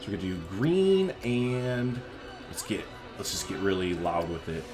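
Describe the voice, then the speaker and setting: A man's voice: sits low, big pitch swings, moderate pace, music in the background. A man giving casual, chatty gameplay commentary as a let's play host, thinking aloud informally as he goes.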